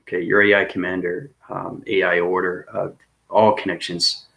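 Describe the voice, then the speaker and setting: Guy rambling on about cosmic stuff, low voice, repeats himself a lot. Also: little pitch movement, moderate pace, creaky, lo-fi sound. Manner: rambling, repetitive, laughs at himself. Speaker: video host. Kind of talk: rambling cosmic monologue to viewers.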